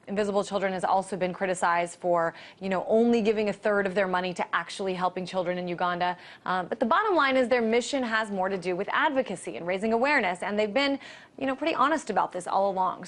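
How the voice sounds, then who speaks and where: An American woman hosting a conversational news interview, speaking in a measured, questioning and hedging manner. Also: mid-range voice.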